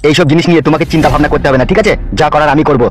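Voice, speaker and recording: A man's voice: fairly high pitch, very fast, lightly acted. A man playing a coaxing, scheming village politician villain in a comedy cartoon. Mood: persuasive. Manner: coaxing, insistent.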